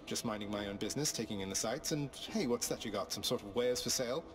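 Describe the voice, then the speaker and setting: Man as cocky young game hero, deep voice, understated, nonchalant, loud music. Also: fast, natural voice. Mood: breezy, curious. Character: wry young male underworld prince, cocky and casual.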